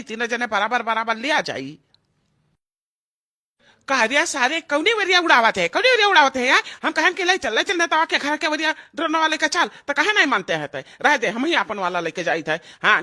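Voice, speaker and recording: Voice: mid-range voice, sharp tone. A performer voicing a greedy, bossy male village thief in an Awadhi comedy cartoon, scolding, with an Awadhi accent. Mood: bossy, irritated. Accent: Awadhi, eastern Uttar Pradesh.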